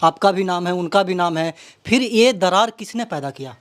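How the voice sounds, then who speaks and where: A man's voice: high voice, moderate pace. A man with a Bihari accent working as an interviewer, introducing and questioning in a dramatic, repetitive way. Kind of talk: local media interview with narrated introduction.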